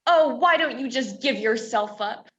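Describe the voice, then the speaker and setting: A high-voiced, prim young woman, played by a female actor, protesting indignantly as a defiant captive in a radio play. The sound is somewhat dull and lo-fi. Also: a little slow, a bit bright. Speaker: student stage actor. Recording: dull, lo-fi virtual recording.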